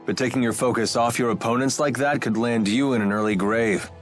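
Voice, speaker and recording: An actor playing a roguish, cocky mercenary in a video game dub, with a casual, wry way of talking. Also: male voice, mid-range voice, steady tone, a little fast, faint music behind, natural voice. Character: roguish, cocky mercenary man with easy swagger.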